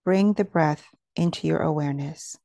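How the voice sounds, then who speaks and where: Woman, meditation guide, dark relaxed tone, creaky edges, soothing, measured, dry recording. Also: low voice, dry recording. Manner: slow, soothing, measured instruction.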